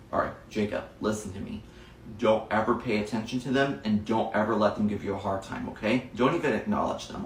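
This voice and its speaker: A man playing a strict but caring schoolteacher who scolds sternly and comforts softly. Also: medium pitch, slight background noise. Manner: stern and scolding, then soft and consoling. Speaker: teacher in a classroom skit. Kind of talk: comedic classroom sketch. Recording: roomy echo, distant mic.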